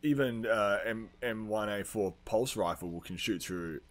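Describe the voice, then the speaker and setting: A man's voice: fairly low pitch, a little slow, crowd noise. A man hosting a podcast, chatting casually and arguing his points in a blunt, sweary way. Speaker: podcast host. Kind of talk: casual chat, debating film characters.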